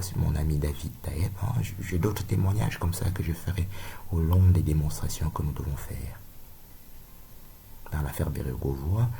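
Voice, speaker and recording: A man's voice: very deep voice, gravelly, dark tone, a little hiss or hum. A middle-aged man from Cameroon, giving a rambling, insistent monologue full of tag questions. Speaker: video lecturer.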